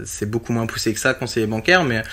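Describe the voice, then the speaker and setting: Bright-voiced man in his early thirties. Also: mid-range voice, lively intonation, fast. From western France. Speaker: podcast guest, streamer. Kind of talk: casual chat.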